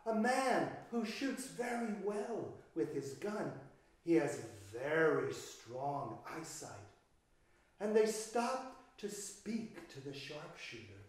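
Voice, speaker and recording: A man telling a story to young children in an expressive, dramatic way, with pauses, speaking straight to his audience. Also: a little high. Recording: roomy, echoey, distant microphone.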